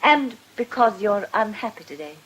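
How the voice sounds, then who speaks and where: An actress playing a gentle, wistful, sympathetic woman in a radio drama, speaking earnestly with some hesitancy. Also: mid-range voice, expressive, moderate pace, slight background noise, natural voice, poor audio. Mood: tender.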